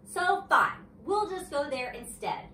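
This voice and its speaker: A prissy, vain little schoolgirl from a storybook, put on by a woman. She sounds affected and self-satisfied, very high in pitch and swooping through her phrases. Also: big pitch swings, slow, bright, forceful tone, roomy sound, far from the mic, slight background noise, full character voice.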